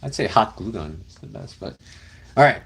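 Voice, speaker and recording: Brief: young male streamer, low-ish voice, relaxed rambling chat with fillers. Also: normal speed, creaky, breathy edge. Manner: rambling, easygoing, many fillers. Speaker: livestream host. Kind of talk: casual livestream chat reading comments. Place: car camping, indoors with partner.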